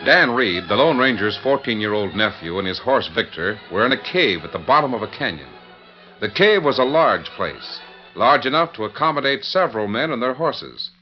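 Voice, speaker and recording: A man narrating a western radio serial in a grand, declamatory, dramatic style. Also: on the low side. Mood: measured storytelling. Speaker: announcer and narrator. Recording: dull vintage radio recording over music.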